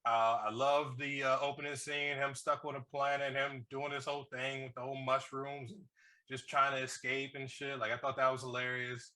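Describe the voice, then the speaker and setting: A man co-hosting a casual movie review, talking in an animated, slangy way with plenty of swearing. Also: medium pitch, normal speed. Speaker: podcast co-host reviewing films.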